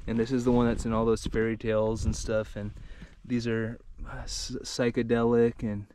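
Excited man vlogging on a hike. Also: mid-range voice, normal speed, clear, resonant tone. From Hawaii. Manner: enthusiastic, exclaims often, laughs. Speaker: vlog host. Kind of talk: casual on-camera vlog narration. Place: outdoors on a mountain hike.

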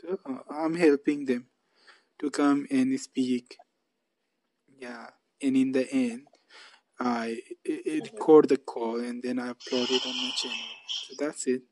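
A man's voice: medium pitch, steady tone, a little slow, darkish tone, rough recording. A man hosting a casual English practice video call, speaking simple English and repeating his phrases. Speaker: YouTube channel host.

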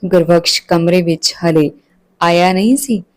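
A woman's voice: fairly low pitch, unhurried. A woman reads a scripted family story as a storyteller, narrating and voicing the dialogue.

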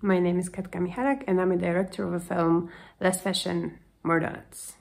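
A woman's voice: lowish voice, flat delivery, brisk, gravelly, a little mellow. A woman in her thirties, a film director, talking earnestly about her project in plain English with small slips. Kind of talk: video call interview. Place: home room indoors.

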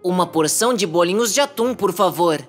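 An actor voicing a mild-mannered, easily exasperated cartoon dinosaur in Brazilian Portuguese, with an expressive, plaintive delivery. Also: medium pitch, fairly quick, close to an everyday voice. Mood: hopeful, sweet. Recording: music behind the voice.

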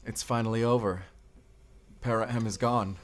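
A man acting a gentle, affectionate father and partner in an intimate audio roleplay, speaking softly and hesitantly. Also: on the low side, fairly even intonation, normal speed, slight background noise, natural voice. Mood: tender, hesitant. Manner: soft, tender, hesitant.

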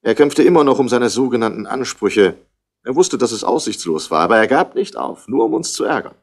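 A man's voice: medium pitch, animated, a little fast, gravelly. An actor playing a haughty, irritable wealthy man in a 1960s radio play, speaking in a curt, formal way. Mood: cool, formal.

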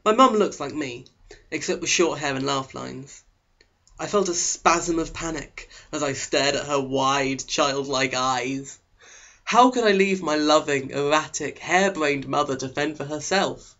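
A man's voice: on the high side, measured pace, sharp tone. A man narrating an audiobook in a sarcastic, melodramatic way. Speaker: audiobook reader. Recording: dull, lo-fi sound.

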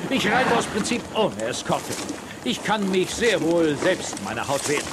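An actor playing a pompous, fussy general in a German children's audio drama, speaking with affected deliberation. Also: male voice, sits high, sing-song, measured pace. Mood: haughty. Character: pompous, fussy, evasive visiting male general. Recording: noisy transfer of old audio drama.

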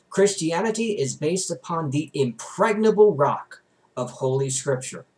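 A man's voice: on the high side, a little mellow. A young adult man reading a book aloud expressively, with an emphatic, declamatory delivery. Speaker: reader, vlogger. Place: small bedroom, indoors.

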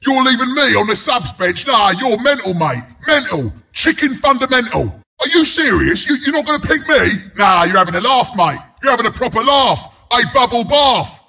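An actor voicing a scrappy, cocky porcupine brawler as a video game announcer, with a London Cockney accent, a gravelly rasp and cheeky, blunt banter. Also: male voice, high-pitched, sing-song, measured pace, bright, forceful tone, exaggerated voice. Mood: indignant, incredulous. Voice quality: gravelly, raspy.

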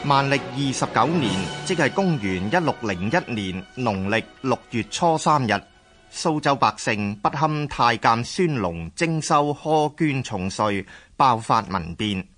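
A man with a Hong Kong Cantonese accent giving formal, measured scripted narration for a historical radio drama. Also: medium pitch, expressive, a bit bright, faint music behind.

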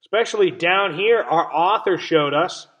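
A man's voice: sits high, normal speed, fairly bright tone. A young man teaching a read-aloud lesson for young children, explaining simply and stressing key words. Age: young adult. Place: small indoor room at home. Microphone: webcam or laptop mic at arm's length.

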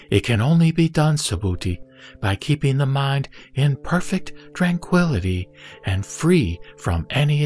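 A man playing the Buddha as a serene teacher, reciting scripture in a measured, solemn audio drama style. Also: a little high, darkish tone. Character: the Buddha, serene male teacher addressing his disciple. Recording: music behind the voice.

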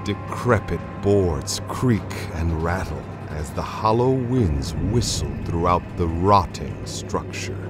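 A man's voice: sits low, animated, takes it slowly, few pauses. A man narrating a supernatural radio play as a grave, dramatic storyteller. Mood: grave, suspenseful. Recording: voice over clear music.

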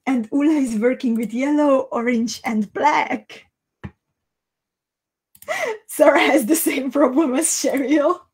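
A woman in her thirties with a Hungarian accent, a native Hungarian speaker, chatting casually and haltingly as she hosts a livestream. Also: high-pitched, fairly even intonation, a little mellow. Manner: halting, thinking aloud. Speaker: host of a live beading tutorial. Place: small indoor craft room.

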